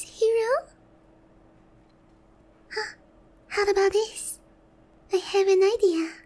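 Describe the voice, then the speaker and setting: Woman playing a very high-voiced, haughty demon lady. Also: darkish tone. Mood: touched, hopeful. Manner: slow, theatrical, teasing. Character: haughty but lonely, easily flustered female demon lord. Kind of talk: roleplay ASMR acting.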